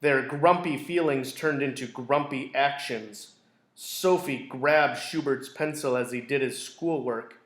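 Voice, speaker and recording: A man reading a children's picture book aloud in a deliberate, expressive storytelling way. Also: mid-range voice. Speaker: school read-aloud storyteller. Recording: roomy, echoey indoor sound.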